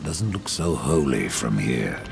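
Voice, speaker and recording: A man's voice: a bass voice, very animated, measured pace, creaky, heavy background noise, exaggerated voice. A man acting a grim horseman in a video game, with a dry, sardonic, menacing way of speaking.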